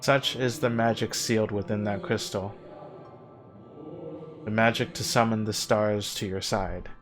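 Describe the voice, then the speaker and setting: An actor playing the courteous, wistful spirit of an ancient man in a video game cutscene, speaking in formal, measured, gently wry phrases. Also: on the low side, steady tone. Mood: warm reverence. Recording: game dialogue with music underneath. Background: background music.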